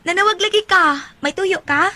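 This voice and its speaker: A woman's voice: very high-pitched, moderate pace, bright, forceful tone. A woman acting as an earnest, naive teenage working girl in a Bisaya radio drama, with a Cebuano accent and a plaintive manner. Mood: shy, hesitant.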